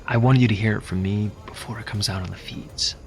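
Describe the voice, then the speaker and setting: An actor playing an apologetic, resigned guild representative in a science fiction audio drama. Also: male voice, on the low side, steady tone, brisk, gravelly, slight background noise.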